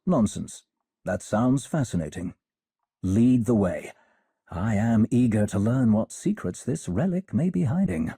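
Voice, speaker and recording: A man's voice: on the low side, big pitch swings, unhurried, vocal fry, mellow, husky, no room sound, exaggerated voice. A man playing an eager, courteous scholar in a game cutscene, speaking with polished, formal phrasing. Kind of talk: video game cutscene acting.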